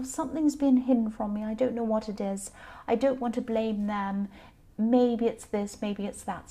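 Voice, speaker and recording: Woman, tarot reader, fairly high pitch, slightly croaky, a bit tired, informal, hesitant, repetitive explanations. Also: mellow. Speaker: tarot reader, channel host. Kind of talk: informal spoken tarot reading.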